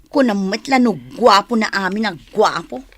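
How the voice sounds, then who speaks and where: A woman's voice: medium pitch, expressive, moderate pace, fairly bright tone, no echo. A woman playing a blunt, gossipy, scornful young cousin in an Ilocano radio drama.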